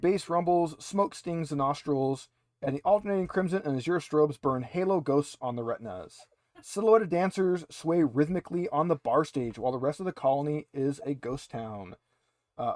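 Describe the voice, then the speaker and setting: A male game master narrates a tabletop session in a slightly high voice. He shifts between scripted description and casual, halting asides, recorded very dry and close. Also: darkish tone, no room sound.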